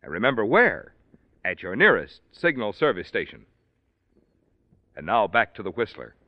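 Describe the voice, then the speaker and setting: A man working as a sponsor's announcer, delivering a polished, salesman-like 1940s radio commercial pitch. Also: sing-song, moderate pace, slight background noise. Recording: dry, muffled old radio recording.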